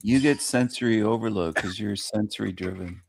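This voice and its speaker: Low gravelly man in dry voice chat. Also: normal speed. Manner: joking, boastful banter. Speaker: regular in an online group chat. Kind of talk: casual chat.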